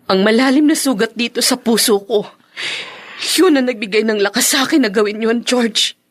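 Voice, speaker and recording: An actress playing a wronged woman turned defiant in a radio drama, with measured, emphatic delivery. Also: fairly high pitch, lightly acted. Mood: wounded defiance.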